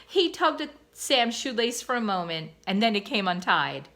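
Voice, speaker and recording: A woman's voice: medium pitch, very animated, normal speed, fairly bright tone. A woman teacher reading a children's novel aloud, careful with small slips. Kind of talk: storybook read-aloud.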